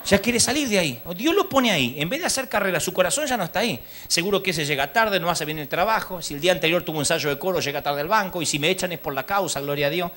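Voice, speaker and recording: A man with an Argentine accent preaching a sermon at a church congress, telling lively stories and mimicking the people in them. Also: high voice, fast talker, few pauses. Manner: lively storytelling, mimics people in his anecdotes; rises to loud exhortation.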